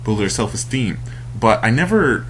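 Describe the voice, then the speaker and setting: A man's voice: on the low side, big pitch swings, normal speed, slight background noise, low-quality recording. A young man in his late teens or early twenties talking casually to camera in a confessional vlog, rambling and hesitant, full of fillers and restarts. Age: young adult. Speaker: vlogger.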